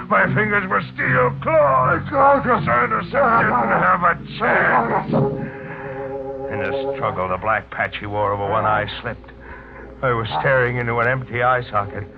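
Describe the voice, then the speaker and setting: An actor playing a brooding, haunted killer who has lost himself, in a hard-boiled 1940s radio mystery style. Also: male voice, on the high side, creaky. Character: haunted amnesiac male killer and racket boss, brooding, unhinged. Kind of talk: 1940s radio mystery acting with first-person narration. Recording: tinny 1940s radio, music behind.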